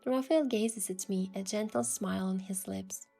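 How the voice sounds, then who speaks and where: A young woman gently narrating a romantic story in the first person as its heroine, in a soft, hushed voice. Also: medium pitch, normal speed, vocal fry, slightly rough, soft background music.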